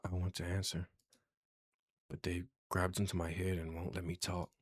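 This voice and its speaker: Deep husky man reading horror narration. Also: monotone, normal speed, no room sound, studio quality. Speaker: game player reading narration aloud. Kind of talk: hushed dramatic reading of horror game text.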